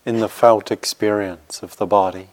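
A man leading a guided meditation as a teacher, speaking gently and reassuringly in short phrases with unhurried pauses. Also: on the low side, steady tone.

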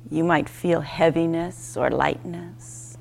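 A woman teaching a guided meditation, speaking in gentle, unhurried instruction with short, spaced phrases. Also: deep voice, steady tone, creaky.

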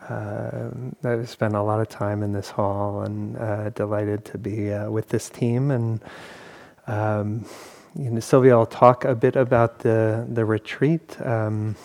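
A man meditation teacher welcoming a retreat in a meditation hall, halting and self-deprecating, with many pauses and fillers. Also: fairly low pitch, level tone, creaky, darkish tone.

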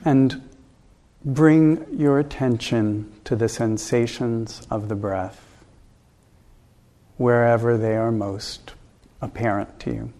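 A man leading a guided meditation as a teacher, giving calm, gentle instructions with unhurried pauses. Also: medium pitch.

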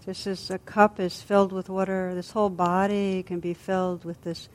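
A woman with a mid-pitched voice leads a guided meditation as a teacher. She speaks gently and soothingly. Her phrases are unhurried, with long, restful pauses between them. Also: flat delivery. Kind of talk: guided body scan meditation.

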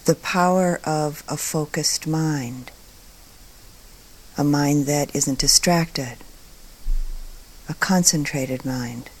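Slow, low-voiced woman guiding meditation. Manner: calm, deliberate, with long pauses between short phrases. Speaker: meditation teacher. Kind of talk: guided meditation talk.

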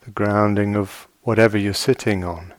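A man guiding a meditation as a teacher, speaking gently and in measured short phrases with long pauses. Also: lowish voice, steady tone, no room sound. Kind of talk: guided meditation. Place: meditation retreat hall.

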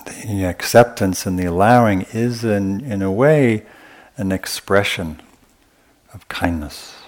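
A man teaching meditation in a gentle, unhurried way, often pausing between short phrases as he gives a guided talk at a retreat. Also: lowish voice.

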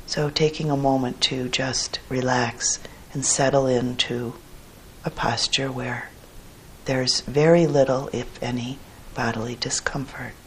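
A woman teaching a guided meditation in a gentle, measured manner with pauses between short phrases and a low, husky voice. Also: fairly even intonation, a little hiss or hum, poor audio.

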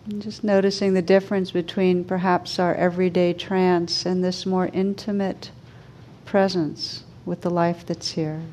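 A woman meditation teacher leading a guided meditation in a gentle, soothing manner, with unhurried pauses between short phrases. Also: on the low side, little pitch movement, slight background noise.

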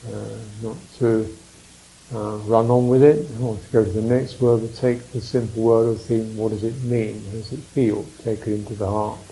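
Man, meditation teacher, very even low-key pitch, dark relaxed tone, contemplative, pausing often. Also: poor audio. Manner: contemplative, unhurried phrasing with reflective pauses and murmured mms. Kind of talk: guided meditation talk.

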